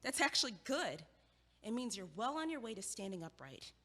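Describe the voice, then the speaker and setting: A blunt impatient student. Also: female voice, fairly high pitch, big pitch swings, pushed and bright, long reverb tail, heavily acted. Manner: brisk, blunt, dryly logical. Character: impatient young female student, blunt and sardonic. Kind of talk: audio drama acting.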